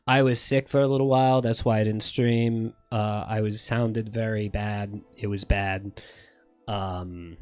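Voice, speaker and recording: Slow, rambling man with a slight lisp. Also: lowish voice, steady tone, a little mellow, smooth, soft background music. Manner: rambling, profane, self-correcting. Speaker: streamer. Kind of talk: casual livestream chat.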